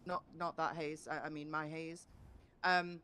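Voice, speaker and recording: A woman's voice: low-pitched, little pitch movement, unhurried, sharp tone, a little hiss or hum. A proud, hardworking business manager in an online role-play game as acted out by a woman. She is earnest and courteous. She stands her ground insistently while staying polite. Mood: passionate, defensive. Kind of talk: improvised in-character role-play conversation.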